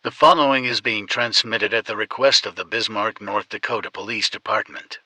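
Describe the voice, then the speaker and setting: Man, emergency alert announcer, lowish pitch, scripted official notice. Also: normal speed, very noisy.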